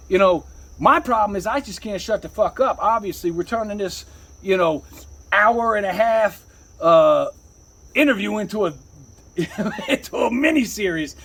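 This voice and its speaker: A man with a husky voice telling stories casually as a rapper being interviewed, rambling and slangy, often saying "you know.". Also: sits high.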